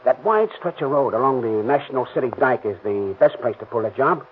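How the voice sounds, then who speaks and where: A man's voice: mid-range voice, animated, fairly quick, natural voice. An actor playing a ruthless, bossy car thief in a 1930s radio crime drama, with slangy tough-guy talk. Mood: menacing. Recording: tinny old radio recording, faint hiss.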